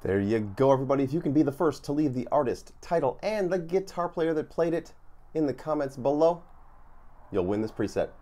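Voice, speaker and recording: A man's voice: fairly high pitch, lively intonation, brisk, dark tone. A man hosting a guitar video, talking casually to viewers in an informal, rambling way.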